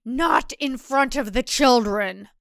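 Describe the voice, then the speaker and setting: A woman storyteller puts on a controlling cult matriarch. The voice is fairly high and clear, commanding and sharp, with a sugary coo over a short temper. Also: takes it slowly, no room sound, exaggerated voice. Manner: sharp, commanding, falsely sweet.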